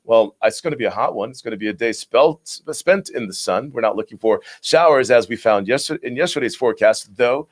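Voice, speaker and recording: A man with a raspy voice hosting a live local morning show, chatting casually through the weather with frequent restarts. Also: medium pitch, animated, a little fast, hardly any pauses. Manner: chatty, rambling delivery with restarts.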